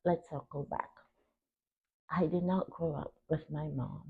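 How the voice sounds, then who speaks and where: A young adult woman from Cameroon talks reflectively and earnestly as a podcast host, stressing her points and pausing between short phrases. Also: low-pitched, fairly even intonation, breathy edge. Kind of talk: reflective personal talk. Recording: tinny phone-like narrow band.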